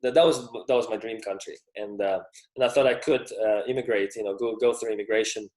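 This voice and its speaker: Low-voiced man, echoey room. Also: fairly even intonation, quick pace, darkish tone. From Russia. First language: Russian. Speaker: guest, professional tennis player. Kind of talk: casual interview talk.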